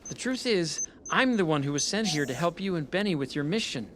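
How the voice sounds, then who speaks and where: Woman doing a earnest hesitant anime youngster, lowish but really animated pitch, music behind. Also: a little fast, slight background noise, close to an everyday voice. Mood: sincere. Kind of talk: anime English dub acting.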